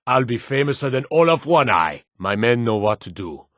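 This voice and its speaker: A man voicing a stern, loyal guard commander in a fantasy video game, with a gruff, weighty, formal delivery. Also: mid-range voice, sing-song, normal speed, fairly bright tone, no echo, clean studio sound. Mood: measured, resolute. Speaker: voice actor for game guard lines.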